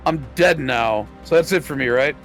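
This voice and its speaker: A man's voice: a little high, normal speed, fairly bright tone. A man playing a tabletop game, chatting informally and jokily, swearing freely. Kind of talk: casual chat. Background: music.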